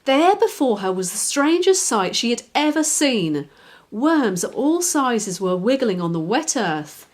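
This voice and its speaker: A woman reads a children's story aloud. The voice is fairly high, with expressive, very lively intonation and clear phrasing for young listeners. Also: a little slow. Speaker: children's author, reader. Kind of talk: scripted storybook narration.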